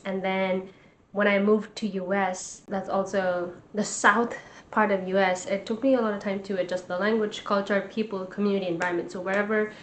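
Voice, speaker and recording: Earnest young woman. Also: mid-range voice. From Nepal. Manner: earnest, encouraging, motivational. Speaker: vlogger and life coach. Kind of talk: casual talk to camera.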